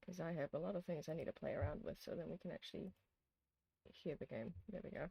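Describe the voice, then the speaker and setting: A tired, hoarse streamer who chats casually with viewers while replaying a horror visual novel, rambling with lots of ums and restarts. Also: medium pitch, quick pace, dry recording, lo-fi sound. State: hoarse, sleepy. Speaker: streamer host.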